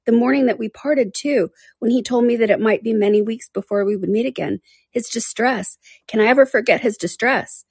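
A woman's voice: fairly high pitch, brisk, a little mellow, breathy edge, no room sound, studio quality, voice pushed up. A woman reading aloud and voicing a heartbroken, passionate young woman who pleads in anguish.

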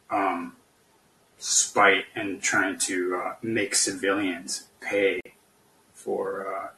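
A man talking as a podcast guest, discussing politics in a halting, hesitant way, searching for words. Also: fairly low pitch, pushed and bright, slight background noise. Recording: roomy, echoey, distant from the mic.

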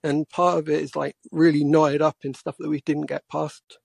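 A man in his forties from England, a quiet-voiced caller talking casually and haltingly about politics. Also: fairly high pitch, flat delivery, gravelly, breathy edge, no room sound, clean studio sound. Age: 40s. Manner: hesitant, halting.

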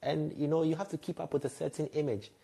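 Mellow-voiced man, frank storyteller. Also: fairly high pitch, steady tone, quick pace, creaky. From Ghana. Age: 30s. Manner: reflective, frank, laughs lightly at himself. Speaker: podcast guest, radio and TV presenter. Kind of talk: candid conversational storytelling.

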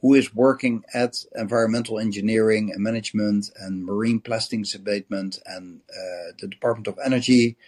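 A man hosting a podcast, introducing his guests and the topic in an earnest, measured way. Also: on the low side, steady tone, darkish tone, slightly rough. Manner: earnest, measured, with occasional restarts. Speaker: podcast host.

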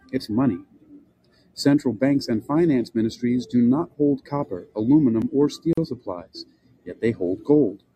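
An older man hosting a podcast, reading a prepared essay aloud with measured, rhetorical question-and-answer pacing. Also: medium pitch, warm tone, breathy edge, no echo, poor audio. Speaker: podcast host.

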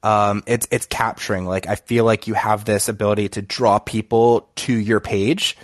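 A man's voice: lowish voice, fairly even intonation, normal speed, no echo, studio quality. A man hosting a casual podcast chat, friendly and chatty, his voice a little nasal from allergies. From Florida. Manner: friendly, chatty, with fillers and restarts. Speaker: podcast host.

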